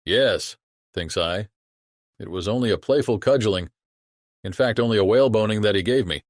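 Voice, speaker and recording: A man's voice: fairly low pitch, moderate pace, dry recording, studio quality, close to an everyday voice. A man playing a chatty sailor who tells a yarn to his shipmate in colloquial, exclamatory audiobook acting. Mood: animated musing.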